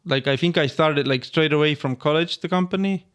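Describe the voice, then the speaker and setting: A man hosting a podcast, chatting casually in a halting way full of fillers. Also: a little high, a bit bright, clean tone. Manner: halting, filler-heavy phrasing with many "like"s. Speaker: podcast host. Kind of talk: casual chat. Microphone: studio podcast microphone.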